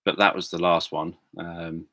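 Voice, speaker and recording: A man with a very low voice talks softly and hesitantly to his viewers, often pausing on "um" and starting over. He is recorded close up in a dry room with no echo. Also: level tone. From England. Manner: hesitant, many fillers and restarts. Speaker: livestream host. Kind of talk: casual livestream chat answering viewers. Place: home room.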